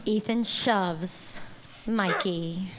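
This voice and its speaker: A woman reading the stage directions at a live table read in a measured, dramatic way. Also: mid-range voice, noisy background.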